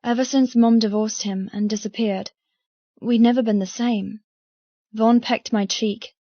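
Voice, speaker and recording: A woman's voice: fairly high pitch, steady tone, measured pace, dark tone, no room sound, lo-fi sound. A woman reading first-person dramatic fiction as an audiobook narrator.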